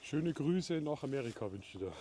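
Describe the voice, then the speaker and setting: A middle-aged man from Bavaria with a German accent, chatting casually while walking outdoors and talking to viewers in a live video, moving between German and halting English. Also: mid-range voice, animated, mellow, slight background noise. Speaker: livestream vlogger. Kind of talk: casual live walk-and-talk. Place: outdoors in a town at night.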